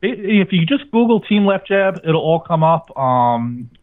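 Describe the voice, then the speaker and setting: A man's voice: fairly high pitch, sing-song, measured pace. A man chatting casually as a podcast guest, heard over a call. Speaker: podcast guest, network owner. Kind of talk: casual phone interview chat. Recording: dull lo-fi call audio.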